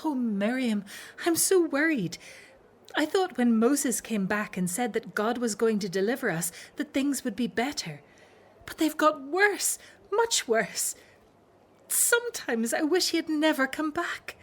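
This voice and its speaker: Actress as high-voiced, anxious Hebrew wife. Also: female voice, expressive, a little slow. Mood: anxious, distressed. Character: anxious Hebrew wife, fearful for her brother. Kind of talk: religious radio drama acting.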